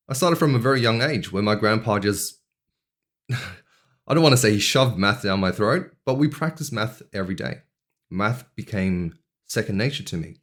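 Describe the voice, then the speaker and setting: Earnest young man. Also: fairly low pitch. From Australia. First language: not English. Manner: measured, earnest storytelling with deliberate pauses. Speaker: vlogger. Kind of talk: reflective personal monologue.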